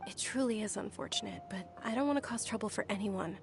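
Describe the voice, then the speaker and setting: A woman playing a gentle, resigned young noblewoman in a video game, speaking softly and politely. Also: mid-range voice, quick pace, vocal fry, a little hiss or hum, close to an everyday voice. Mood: sad.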